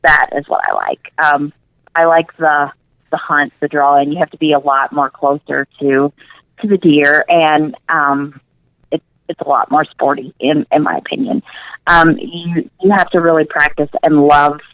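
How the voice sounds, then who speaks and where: A woman's voice: low voice, fairly even intonation, normal speed, a bit bright, no echo, muffled recording. A woman speaking as a guest in a casual radio interview, earnest and emphatic, repeating words for emphasis. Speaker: interview guest, nutrition coach.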